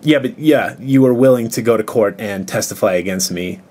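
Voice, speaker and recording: A man's voice: on the low side, brisk, darkish tone. An aggrieved, confrontational man arguing on a recorded telephone call. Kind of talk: tense phone argument.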